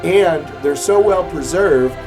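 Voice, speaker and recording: A man's voice: fairly high pitch, slow, over music, poor audio. A man presenting an explanatory talk in an emphatic, declarative way.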